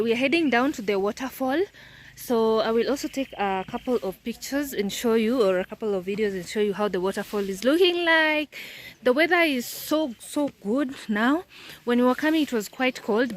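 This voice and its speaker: A woman's voice: on the high side, slight background noise. A woman hosting a casual vlog on a farm tour, chatty, laughing often and talking to her viewers. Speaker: vlogger host. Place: outdoors on a rural tea farm.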